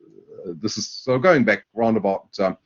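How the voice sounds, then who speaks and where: A man with a German accent presenting a university webinar, talking in a halting way with many fillers and restarts. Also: fairly low pitch. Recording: dull lo-fi webinar audio.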